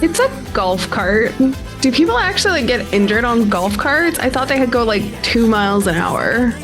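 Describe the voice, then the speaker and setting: A woman's voice: a little high, big pitch swings, normal speed, music in the background. A woman player improvising casually in a tabletop role-playing game, with a tentative, chatty manner.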